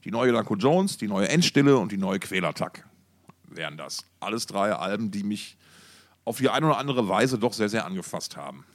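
A man's voice: fairly low pitch, very animated, a little fast. A middle-aged man co-hosting a music podcast in casual, unscripted chat, enthusiastic and colloquial, often repeating his words.

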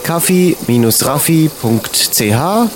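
A man's voice: a little high, expressive, takes it slowly, slight background noise. A man reading a scripted, rhyming advert as an announcer.

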